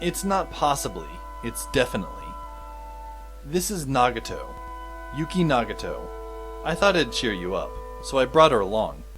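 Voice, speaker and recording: A man playing a wry, weary teenage schoolboy who narrates an audio drama in a dry, deadpan way. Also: medium pitch, moderate pace, music in the background, lightly acted. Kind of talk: scripted first-person audio drama narration.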